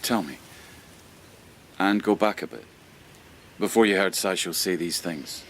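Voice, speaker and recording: An actor playing a steady court lieutenant in an audio drama, questioning in a measured, insistent way. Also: male voice, sits low, slight background noise. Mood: probing, insistent. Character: steady, probing male lieutenant of the imperial court. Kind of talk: low-key audio drama acting.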